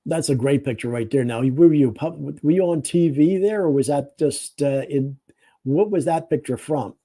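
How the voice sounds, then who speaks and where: A man's voice: a little high, normal speed, dark tone, no room sound. A man hosting a casual interview over a video call, asking friendly, rambling questions. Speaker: host.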